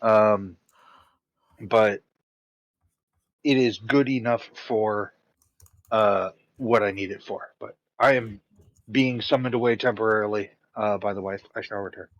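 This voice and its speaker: Slow-talking man on a dull mic. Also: on the low side, fairly even intonation, no echo. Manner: rambling, spells words out phonetically. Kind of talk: casual chat.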